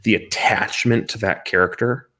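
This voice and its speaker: A man's voice: lowish voice, steady tone, moderate pace, pushed and bright. A man co-hosting a casual, opinionated talk show, speaking emphatically and repeating phrases for stress. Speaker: podcast co-host reviewing movies and games.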